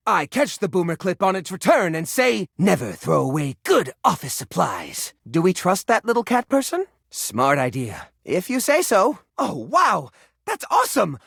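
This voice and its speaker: A man voicing a cheerful, fussy, nerdy household junk drawer in a video game, with enthusiastic delivery and mild, squeaky-clean exclamations. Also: creaky, a bit bright, husky, no room sound, clean studio sound, exaggerated voice. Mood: delighted. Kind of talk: animated video game character voice acting.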